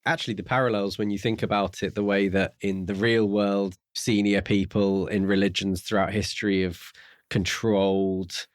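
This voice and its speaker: A man's voice: lowish voice, little pitch movement, moderate pace, dry recording, clean studio sound. A man co-hosting a podcast, casually talking through a novel in an explanatory, tentative way. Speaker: book podcast co-host.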